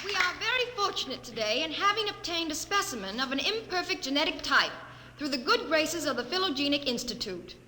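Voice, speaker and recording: An actress playing a cool, repressed science professor in a 1950s radio drama, formal, clipped and clinical. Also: female voice, high-pitched, sing-song, a little fast. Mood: lecturing. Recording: old radio recording with faint noise.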